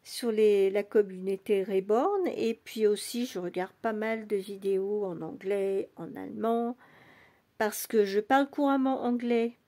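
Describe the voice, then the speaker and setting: An English-born woman talking casually in a vlog, speaking slowly and going back and forth between English and French. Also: mid-range voice. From England. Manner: soft, unhurried, switches between English and French. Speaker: doll collector vlogger. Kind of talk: casual bilingual vlog chat.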